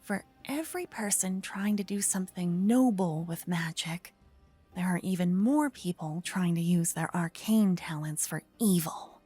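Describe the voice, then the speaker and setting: Woman acting as a shy sweet paladin girl, soft breathy mellow voice, takes her time, fantasy asmr roleplay. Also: fairly low pitch, soft background music. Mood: earnest, explaining. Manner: soft, breathy, gently flustered, slightly halting. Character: earnest, bashful young female paladin, affectionate fantasy adventurer. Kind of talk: scripted ASMR roleplay addressed to the listener.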